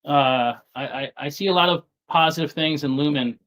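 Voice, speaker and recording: A man from New York City with a softened New York accent, talking casually as an interview guest, halting and often repeating words as he restarts. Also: on the high side. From Staten Island, New York City. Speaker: guest investor.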